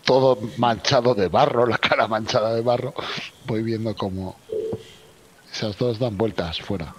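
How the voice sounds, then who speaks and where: A man's voice: on the low side, moderate pace, a little hiss or hum. A man with a Spain accent playing a tabletop role-playing game, improvising short in-character lines and narrating his actions.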